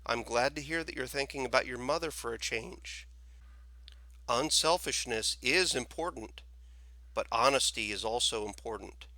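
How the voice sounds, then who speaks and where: A man voicing a calm, stern old storekeeper in a storybook, speaking in an unhurried, admonishing way. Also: medium pitch, no room sound. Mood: stern, measured. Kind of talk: storybook character voice.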